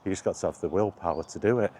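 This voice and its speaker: A man's voice: low-pitched, racing, darkish tone, a little hiss or hum. A British man giving a rambling, casual vlog chat in a blunt, opinionated manner. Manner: opinionated, blunt, mildly sweary. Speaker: video blogger.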